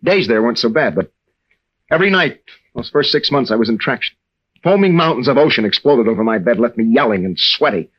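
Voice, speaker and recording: A haunted young American navy veteran in a vintage radio drama. His voice is husky and swings widely in pitch, with halting, earnest phrasing. The recording is dull and old. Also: lively intonation. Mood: haunted, confessional. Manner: halting, earnest, with stammered restarts. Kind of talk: 1940s-50s radio drama acting.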